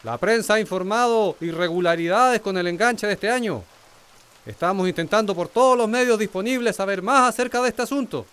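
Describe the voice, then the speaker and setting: A provincial governor in a radio play. His voice is high and very clean, and he speaks like an official placating the public. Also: a little fast, a bit bright, smooth. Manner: formal, oratorical. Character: male provincial governor placating anxious townspeople. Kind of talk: radio drama acting.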